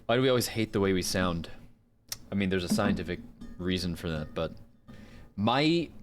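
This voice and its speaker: A man's voice: low-pitched, lively intonation, normal speed, noisy background. A man who does voice acting on livestreams, talking casually and in a self-deprecating way. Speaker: livestreaming voice actor.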